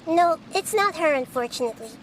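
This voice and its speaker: A woman's voice: very high voice, expressive, brisk, background noise. A woman voicing a small, curious and wise young girl deity in a video game. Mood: pondering. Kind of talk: video game character dialogue.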